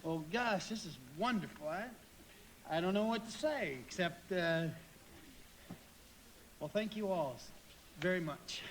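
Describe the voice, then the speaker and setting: A man's voice: high voice, slight background noise. A man acting an overjoyed, stammering young father in a live radio play staged from a 1940s script. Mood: overwhelmed, joyful. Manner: excitable, halting, stammering. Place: live theatre stage performance.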